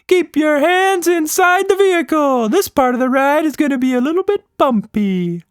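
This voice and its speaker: A man's voice: very high-pitched, expressive, normal speed, dry recording, clean studio sound, voice pushed up. A man voicing a zany, friendly, playful magical monster for a children's story. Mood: bossy, upbeat.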